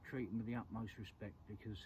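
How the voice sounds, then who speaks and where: A man's voice: fairly low pitch, fairly even intonation, fast, a little mellow. A middle-aged man chatting casually to camera by a lake, repeating little phrases. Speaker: angler vlogger. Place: outdoors by a lake at night and dawn. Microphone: clip-on lapel mic. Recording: lo-fi outdoor recording with clear background noise.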